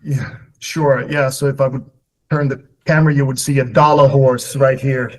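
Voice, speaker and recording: A middle-aged Swedish man with a Swedish accent talks as a podcast guest. He speaks reflectively and pauses often. He fills the gaps with "uh" and "you know" and restarts his sentences. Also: medium pitch, level tone, poor audio. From Sweden. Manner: reflective, many fillers and restarts. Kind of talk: casual interview chat.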